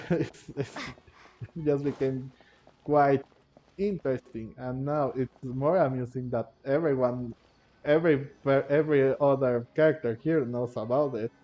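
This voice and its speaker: Male gamer commentator, mellow mid-range voice, casual, halting and rambling, lo-fi sound. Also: medium pitch. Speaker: gamer, video host. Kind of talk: casual game playthrough commentary. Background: game music.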